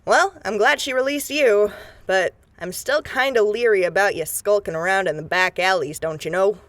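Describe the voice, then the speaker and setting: A woman's voice: mid-range voice, normal speed, dry recording, close to an everyday voice. Woman doing a folksy small-town busybody lady, chatty and suspicious, dry close sound. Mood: chirpy, probing. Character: Tammy, chirpy, nosy, suspicious townswoman. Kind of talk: scripted post-apocalyptic audio drama acting.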